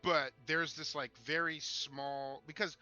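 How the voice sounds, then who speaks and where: Man, very bright forceful voice, slow halting delivery, opinionated casual talk, emphatic, sarcastic asides. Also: fairly high pitch, fairly even intonation, highs cut off, soft background music. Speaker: co-host of a tabletop gaming discussion show.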